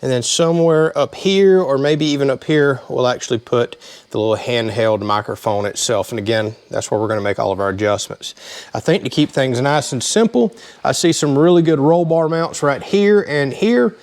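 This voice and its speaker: A man's voice: medium pitch, moderate pace, a little mellow. A man hosting a casual hands-on DIY tutorial, talking in a plain-spoken, explanatory way. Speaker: host of a DIY vehicle channel.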